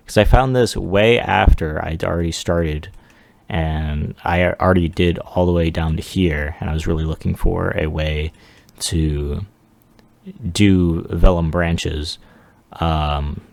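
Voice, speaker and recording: A man giving a casual, unscripted software walkthrough as a 3D artist, rambling along in a self-deprecating way. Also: low-pitched, vocal fry, dry recording. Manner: rambling, self-deprecating, chuckles at own remarks. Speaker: 3D artist presenting a demo.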